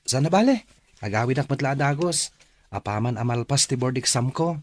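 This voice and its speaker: An actor as a caring young grandson. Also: male voice, medium pitch, expressive, moderate pace, dry recording, close to an everyday voice. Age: young adult. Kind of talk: radio drama acting. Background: music.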